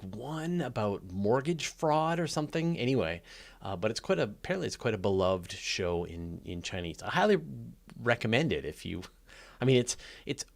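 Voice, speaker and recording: A man hosting a live question-and-answer stream in casual, unscripted speech, haltingly restarting his phrases as he rambles along. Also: fairly low pitch. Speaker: host of a live astronomy Q&A stream. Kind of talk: casual, unscripted livestream chat.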